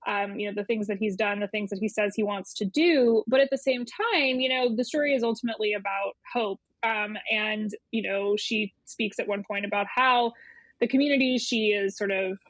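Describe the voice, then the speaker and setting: A woman's voice: medium pitch, moderate pace, no echo. A woman speaking as an interview guest, an editor explaining things in a casual, thoughtful, conversational way with some emphatic repetition.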